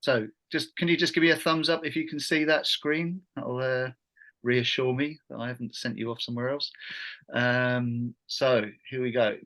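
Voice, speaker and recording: Guy middle aged running an online workshop, kinda halting, not much pitch movement. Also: steady tone, a bit bright, rough recording. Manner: halting, hesitant phrasing. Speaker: workshop host, education trainer. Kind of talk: informal online workshop presentation. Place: video call.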